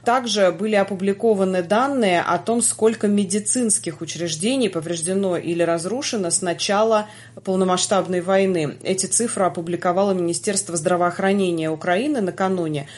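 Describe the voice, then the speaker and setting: A woman hosting a news podcast, reading a daily summary in a measured way with frequent hesitations. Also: fairly low pitch, a little mellow. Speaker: podcast host.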